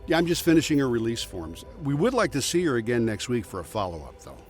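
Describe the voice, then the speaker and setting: A man playing a calm, reassuring hospital doctor in an audio drama. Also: medium pitch, quick pace, faint music behind.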